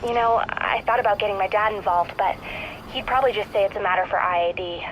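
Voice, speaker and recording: A woman's voice: mid-range voice, quick pace, close to an everyday voice. Plucky teenage girl played by a woman, noisy audio. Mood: indignant, determined. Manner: casual, upbeat, confiding. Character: plucky, earnest, chatty teenage girl, eager young sidekick. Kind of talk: naturalistic video game voice acting.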